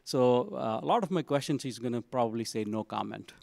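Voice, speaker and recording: Halting man moderating a conference panel. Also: medium pitch, creaky, no echo, studio quality. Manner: relaxed, halting delivery with many fillers. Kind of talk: live panel introductions to an audience. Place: conference session room.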